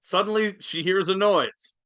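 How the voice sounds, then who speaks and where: A man's voice: sits high, moderate pace, fairly bright tone, clean tone, dry recording. A man reading stage directions in a live video-call table read, plain and matter-of-fact. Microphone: video call mic.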